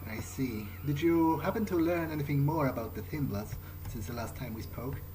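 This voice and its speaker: Hesitant man musing over loud music. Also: medium pitch, rough recording. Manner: hesitant, musing, halting phrases. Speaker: gameplay streamer playing in character. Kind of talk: in-character roleplay gameplay narration, thinking aloud. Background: game music.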